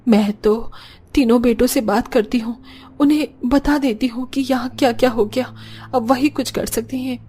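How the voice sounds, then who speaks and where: A woman's voice: fairly high pitch, fairly even intonation, normal speed, mellow, husky. A woman voicing a caring, long-suffering older mother-in-law in a cartoon story, earnest and lamenting. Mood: worried, reassuring.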